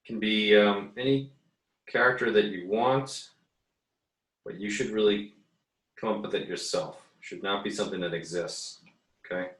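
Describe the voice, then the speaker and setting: A man giving a casual, unscripted tutorial as an art teacher, haltingly thinking aloud as he works. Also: fairly low pitch. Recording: echoey, roomy sound.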